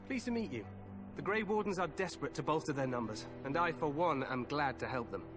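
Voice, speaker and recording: A man acts out a cheerfully overconfident king from a fantasy video game. He is hearty and regal yet breezily informal, with a fairly high male voice. Clear music plays underneath. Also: animated, a little fast, close to an everyday voice. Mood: jovial. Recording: orchestral game music behind voice.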